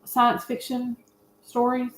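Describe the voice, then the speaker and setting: A woman's voice: a little high, monotone, takes it slowly. A woman chatting casually and emphatically about films and series as an opinionated panelist. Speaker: panelist on a movie review show. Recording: roomy, echoey sound.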